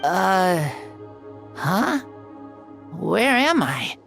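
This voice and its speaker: A woman voicing a frail, earnest young boy who speaks haltingly and with wide-eyed wonder in an anime-style game. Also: fairly low pitch, big pitch swings, vocal fry, fairly bright tone, soft background music, heavily acted. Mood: confused.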